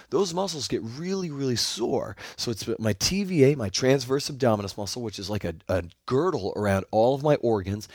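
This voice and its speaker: A man hosting his own podcast, talking solo in an upbeat, enthusiastic coaching tone, his voice hoarse. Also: mid-range voice, darkish tone, no room sound, clean studio sound. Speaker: podcast host.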